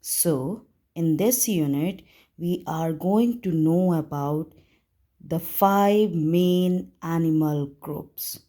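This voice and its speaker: A woman teacher narrating a simple lesson for young children, explaining deliberately in short phrases. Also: sits low, dark tone. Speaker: primary school teacher.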